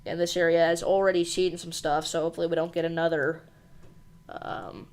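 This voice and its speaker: A woman hosting a live weather stream, briskly reading out storm warnings in an informal way with some fillers. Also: lowish voice, flat delivery, a little mellow. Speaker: weather livestream host. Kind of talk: live severe weather coverage.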